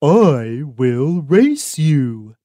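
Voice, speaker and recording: A woman voicing a slow, humble, determined tortoise for a children's storybook, with a plodding, deliberate delivery. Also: low-pitched, very animated, warm tone, dry recording, voice pushed down, heavily acted. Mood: calm, determined.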